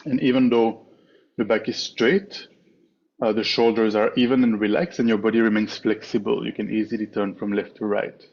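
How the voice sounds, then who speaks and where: A man teaching a guided meditation in a measured way, pausing often between short phrases. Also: lowish voice, flat delivery, darkish tone. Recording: dull, muffled online call with clear background noise.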